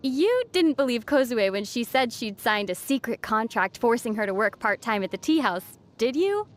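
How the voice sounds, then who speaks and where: A woman playing a young female traveler in a video game, gently teasing and reassuring, with a playful, dry manner. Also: a little high, sing-song, normal speed, slight background noise. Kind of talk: video game character dialogue.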